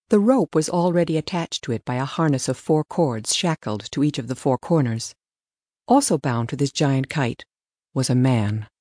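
A woman's voice: very deep voice, warm tone, no room sound, studio quality. A woman reading scripted story narration as an audiobook narrator.

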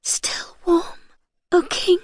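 A woman voicing a gentle, meek young maiden in a storybook, whispery and trembling as if freezing. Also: drawn-out words, no echo, clean studio sound. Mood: faint, courteous.

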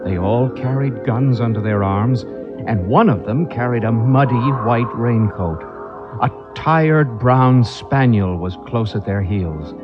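A man narrates a ghost story dramatically, reading from a script in a medium-pitched voice. The sound is dull and muffled, like an old record. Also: slow, over music.

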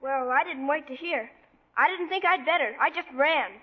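A woman's voice: high voice, normal speed, natural voice. A young actress playing a cheerful, plucky, chatty little girl in a radio drama. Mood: earnest. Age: child performer. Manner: earnest, chatty, bossy little helper. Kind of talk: 1940s radio drama acting. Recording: muffled vintage radio broadcast.

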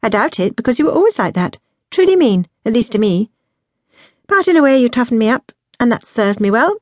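A woman voicing a blunt, outspoken eighteen-year-old younger sister in an audiobook, sharp and direct. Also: fairly high pitch, flat delivery, moderate pace, no echo, pitched above the performer's own voice, close to an everyday voice. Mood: sharp, accusing. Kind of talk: audiobook character dialogue.

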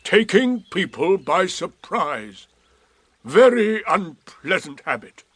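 A man voicing a gloomy, sardonic old male donkey with a slow, drawn-out, mournful delivery in a storybook reading. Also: sits high, sing-song, fairly bright tone, breathy edge, no echo, pitched above the performer's own voice, full character voice.

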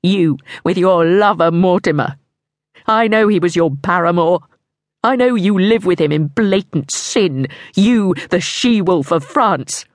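A woman's voice: on the low side, expressive, unhurried, no echo. Woman voicing a bitter, accusing ghost king.

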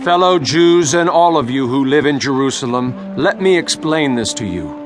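An actor playing an earnest apostle preaching boldly, a man declaiming deliberately in a dramatized audio Bible. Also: medium pitch, animated, lightly acted. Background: music.

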